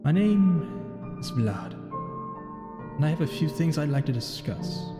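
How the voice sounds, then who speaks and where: A man's voice: a little high, very animated, measured pace. A man voicing a lonely, brooding thousand-year-old vampire who narrates his tale in solemn, formal, theatrical phrasing. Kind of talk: scripted in-character story narration over gameplay. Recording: echoing hall-like reverb, music behind. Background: music.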